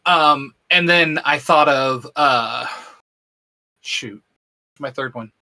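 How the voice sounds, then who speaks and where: A man co-hosting a casual podcast chat, excitable and rambling, laughing often. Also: mid-range voice, slow. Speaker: co-host.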